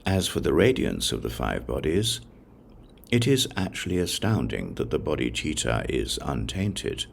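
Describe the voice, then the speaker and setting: Very deep, gravelly male audiobook narrator. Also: very animated, a little hiss or hum. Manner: measured, solemn recitation with deliberate pauses. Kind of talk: scripted narration of a religious text.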